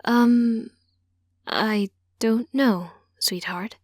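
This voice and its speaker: A woman voicing a caring, gentle mother of a grown child in an audiobook story. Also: on the high side, extremely slow, dry recording, clean studio sound. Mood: cautious.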